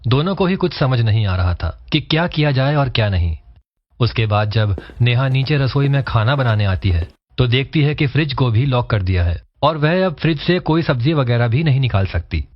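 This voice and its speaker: A man narrates a cartoon story from a script. His voice sits at a medium pitch, and the recording is a little dull and lo-fi. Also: lively intonation, moderate pace, no room sound.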